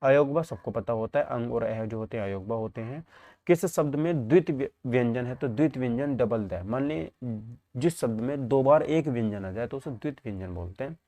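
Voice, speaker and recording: A man's voice: medium pitch, expressive, a little fast, warm tone, dry recording. A man teaching an exam lesson, posing questions and answering them himself, often checking that students follow.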